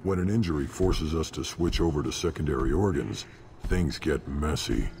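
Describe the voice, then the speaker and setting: An actor playing a surly, blunt alien warrior in a video game, gruff and dismissive, with a deep gravelly voice. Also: male voice, moderate pace, a little mellow. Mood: gruff, matter-of-fact. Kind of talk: video game character acting. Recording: long reverb, clear background noise.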